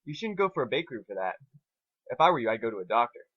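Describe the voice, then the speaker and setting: A man's voice: mid-range voice, very animated, racing, a bit bright, dull audio. A man voicing a perky, helpful female cartoon pony who chirps questions in a story reading. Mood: friendly, perky.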